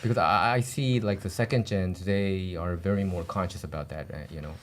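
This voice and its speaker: A man with a lowish voice sharing his view on a casual podcast. He talks reflectively, restarting sentences as he searches for the right words. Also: a little fast.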